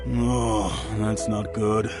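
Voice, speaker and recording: A man's voice: on the low side, level tone, very slow, music in the background. A man playing a friendly, worried innkeeper in an anime-style video game.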